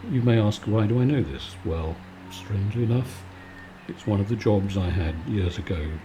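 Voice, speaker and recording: A man giving a calm, soothing, conversational bedtime chat in a relaxed ASMR style. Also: on the low side, normal speed, background noise. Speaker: video maker and host.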